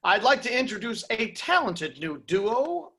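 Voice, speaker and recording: A woman's voice: fairly high pitch, sing-song, a little slow, fairly bright tone, slightly rough, full character voice. A woman acting a tipsy, gushing male Hollywood studio boss in a table read, effusive in manner.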